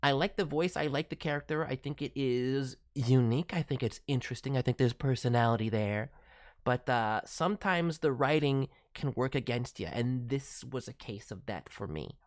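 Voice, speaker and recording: A man's voice: medium pitch, animated, moderate pace. A man giving casual, thoughtful critique as a voice director, hedging as he talks. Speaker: voice director reviewing demo reels.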